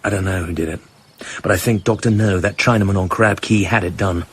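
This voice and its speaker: An actor playing a cool, dry British secret agent in a radio drama, speaking and narrating in a clipped, understated manner. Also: male voice, sits low, fairly even intonation, a little fast, fairly bright tone, a little hiss or hum. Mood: calm, businesslike. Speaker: lead actor and first-person narrator. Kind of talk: radio drama acting and first-person narration.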